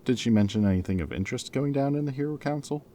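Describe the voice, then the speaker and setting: A man's voice: mid-range voice, expressive, quick pace, warm tone, a little hiss or hum, natural voice. A man playing a former villain in an audio roleplay, a playful, loyal friend who talks in a casual, wry, bantering and hesitant way, as if in conversation. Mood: cautious, serious.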